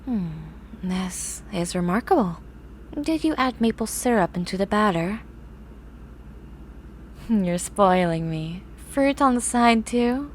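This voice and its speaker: A woman playing a grumpy, eccentric young horse-girl scientist in an ASMR roleplay, with formal, haughty, drawn-out wording. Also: mid-range voice, animated. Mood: pleased, businesslike. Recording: clear background noise, ambient sound.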